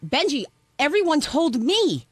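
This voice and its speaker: A woman's voice: high-pitched, very animated, unhurried, dry recording, clean studio sound. A woman in a heated argument, speaking in a blunt, confrontational way with plenty of profanity. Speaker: show staff member.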